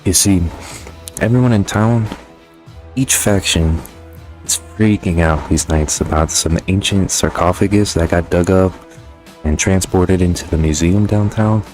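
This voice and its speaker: A man's voice: sits low, a little slow, darkish tone, faint music behind. A man giving a casual, conversational video game review voice-over in slangy, sometimes profane language.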